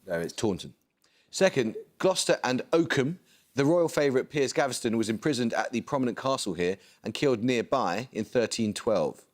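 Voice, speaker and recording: Measured, formal quiz show host, man. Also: mid-range voice, expressive. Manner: measured, precise, formal delivery. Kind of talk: scripted quiz presenting. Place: television studio.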